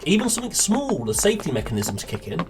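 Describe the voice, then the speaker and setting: A woman playing a calm, rational husband in an audio drama, dry, reassuring and matter-of-fact. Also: on the low side, fast talker, background noise.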